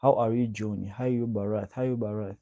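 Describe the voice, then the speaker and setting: Gravelly, dark-voiced man chatting on stream. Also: fairly low pitch, fairly even intonation, quick pace, no room sound. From Uganda. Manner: greets viewers by name, repeats himself. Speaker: livestream host. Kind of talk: casual livestream chat reading comments.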